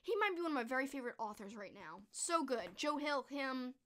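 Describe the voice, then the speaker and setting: A young woman streamer with a hoarse, croaky voice she is losing, chatting enthusiastically about favorite books in a small bedroom into a close studio mic. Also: high voice, sing-song, brisk, a bit bright. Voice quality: strained, croaky. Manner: chatty, enthusiastic, frequent "like" fillers. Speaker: streamer reviewing books and movies. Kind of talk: casual chat. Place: small bedroom with posters, indoors. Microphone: large studio microphone close in front.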